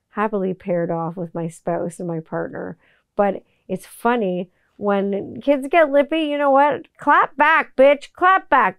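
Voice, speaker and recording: A woman in her forties hosting a podcast, talking in a blunt, profane, animated monologue. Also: medium pitch, clear, resonant tone, no echo. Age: 40s. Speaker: podcast host. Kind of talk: casual monologue.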